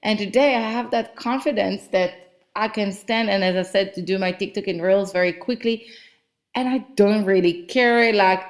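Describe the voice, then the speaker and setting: A woman's voice: mid-range voice, normal speed. A woman whose first language is not English, hosting a solo motivational talk and chatting casually with many fillers. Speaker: podcast host and business coach. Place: lives in Cape Town.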